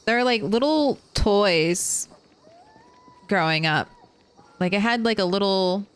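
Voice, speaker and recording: A woman's voice: medium pitch, animated, slow, clear, resonant tone. A young adult woman streaming a game, chatting casually, thinking aloud and laughing often. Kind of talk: casual chat while gaming.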